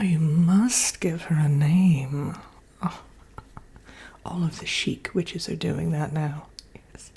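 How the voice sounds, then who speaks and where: A woman voicing a vain, sly wicked witch in a breathy, whispered ASMR roleplay, chatting coyly as if with an old friend. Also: sits low, a little mellow, heavily acted. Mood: chatty, coaxing. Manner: theatrical, coyly boastful, doting, slow and murmuring.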